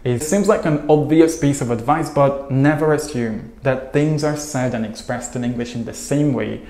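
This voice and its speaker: Man, 30s, English coach, earnest and steady, lapel mic, echoey home room. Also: mid-range voice, normal speed. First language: Hungarian. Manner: earnest, steady, listing points in long runs. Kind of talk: scripted video lesson to camera.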